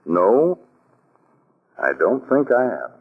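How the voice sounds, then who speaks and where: An actor playing an anxious, lovesick army colonel in an old radio drama, speaking in an earnest, halting way. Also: male voice, mid-range voice, sing-song, creaky, husky, exaggerated voice. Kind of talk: 1940s radio acting. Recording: dull lo-fi old radio recording.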